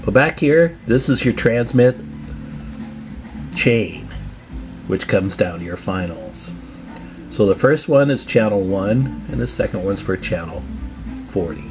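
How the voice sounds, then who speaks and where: A man's voice: on the low side, expressive, measured pace, pauses often, music in the background. A man presenting a hands-on repair tutorial in a casual, plain-spoken, advising way.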